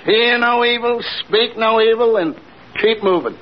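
Shifty glib crook, high-pitched, easygoing, evasive, 1940s radio comedy, muffled old recording. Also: male voice, unhurried, very noisy. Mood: sly. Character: shifty, easygoing small-time crook, hearty old pal.

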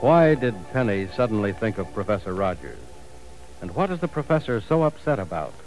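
A man's voice: on the low side, big pitch swings, fairly quick, music in the background, poor audio. A male announcer closing a radio serial in a suspenseful, questioning style. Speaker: program announcer. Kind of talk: dramatic radio serial sign-off.

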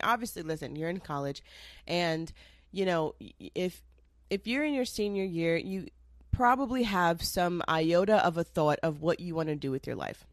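A young woman with a lowish voice talks straight to her listeners in a loose, rambling podcast chat, wandering into asides and dropping the odd swear word. She sounds slightly nasal and stuffed up from a cold. The sound is close and dry. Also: no room sound. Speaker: podcast host. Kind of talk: casual solo podcast chat.

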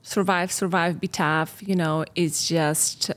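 A woman's voice: on the low side, fairly even intonation, slow. A woman in her forties from Poland with a Polish accent, a relationship coach talking earnestly and reflectively in a casual podcast conversation. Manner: reflective, earnest, slightly non-native phrasing.

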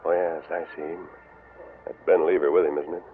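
An actor playing a masked hero who puts on a Mexican disguise with Spanish-flavored speech, in a 1940s radio drama. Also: male voice, a bass voice, flat delivery, a little fast. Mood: hushed. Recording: tinny old radio broadcast with hiss.

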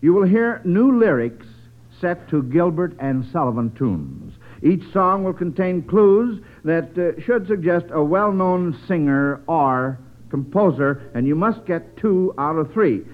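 A man's voice: on the high side, expressive, measured pace. A man hosting a 1940s radio quiz for children in an encouraging, folksy, slightly hesitant way. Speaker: quiz show host. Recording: muffled old radio broadcast.

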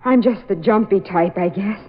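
A woman's voice: medium pitch, normal speed, natural voice. An actress playing a timid, jumpy woman with a nervous, hesitant manner in a radio drama. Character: jumpy, timid young woman house-hunting. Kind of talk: old-time radio drama acting. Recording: muffled, noisy old radio recording.

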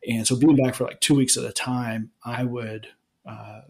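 A man in his forties from Alabama, the usual host, answering interview questions in a relaxed, rambling way with many restarts. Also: mid-range voice, moderate pace, gravelly. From Alabama, United States. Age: 40s. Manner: rambling, many ums and restarts, laughs easily. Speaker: podcast host being interviewed. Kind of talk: casual interview chat.

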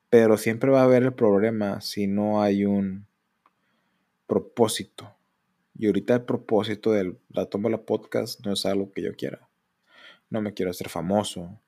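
A man in his thirties with a Mexican accent, hosting a solo podcast and speaking candidly in a rambling way. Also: warm tone. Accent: Mexican Spanish. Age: 30s. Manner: candid, rambling, mocks others in exaggerated high voices. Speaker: podcast host.

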